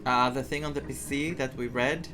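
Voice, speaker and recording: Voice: mid-range voice, fairly even intonation, moderate pace. A game streamer giving casual playthrough commentary, thinking aloud and reacting to the story. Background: game music.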